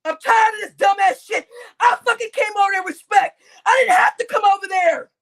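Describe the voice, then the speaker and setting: Very high-pitched, gravelly woman, crying and shouting. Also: brisk. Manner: shouting, heavy swearing, repetitive outbursts. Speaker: livestreamer. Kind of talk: angry livestream rant to chat.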